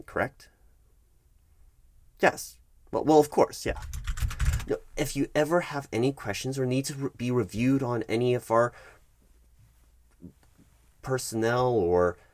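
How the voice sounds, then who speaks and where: A man's voice: mid-range voice, darkish tone, dry recording, natural voice. A man playing a politely evasive, officious HR manager in an ASMR office roleplay, stammering through formal, bureaucratic wording. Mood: earnest, reassuring. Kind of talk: ASMR roleplay, one-sided office meeting.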